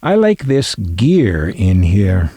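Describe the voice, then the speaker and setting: A man narrates a hobby video at an unhurried pace, his pitch moving freely up and down. He sounds wry and reflective, thinking aloud with dry humor. The recording is close and dry. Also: huge pitch swings, warm tone, breathy edge, no echo. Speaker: collector presenting vintage radio finds.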